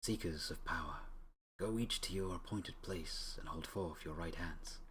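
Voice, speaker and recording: A man's voice: low-pitched, noisy background. A man voicing a solemn, portentous mystical being that bestows ancient power in a video game. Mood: commanding. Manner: slow, portentous. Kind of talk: dramatic read-aloud of game dialogue.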